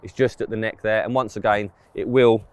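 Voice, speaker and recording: A man's voice: fairly low pitch, steady tone, fairly quick, darkish tone, clear, resonant tone, dry recording. A man, giving a casual, plain-spoken product review to camera, outdoors on a close mic. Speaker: presenter of fishing clothing reviews. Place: outdoors in an open grass field.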